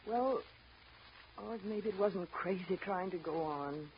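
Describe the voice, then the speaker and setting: An actress playing an anxious, earnest young wife and daughter, pleading and emotional in a 1930s radio drama. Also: female voice, on the low side, slow. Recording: dull, lightly noisy 1930s radio recording.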